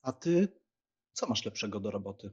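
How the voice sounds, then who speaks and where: A man playing a frank, teasing young Polish country squire in an animated, expressive audiobook performance. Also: fairly low pitch, moderate pace, slightly rough, muffled recording. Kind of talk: dramatised audiobook acting.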